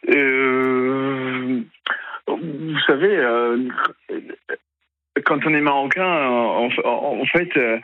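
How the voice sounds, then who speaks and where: A man's voice: mid-range voice, very slow, pauses often, no echo. A young man in his twenties, a radio interview guest, speaking hesitantly with many filler sounds and restarts. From Italy. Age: 20s. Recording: tinny phone line.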